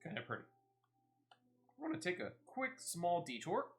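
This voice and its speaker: A man's voice: high-pitched, huge pitch swings, moderate pace. A man giving casual, playful gameplay commentary as he streams. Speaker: game streamer. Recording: music playing behind the voice. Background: game music.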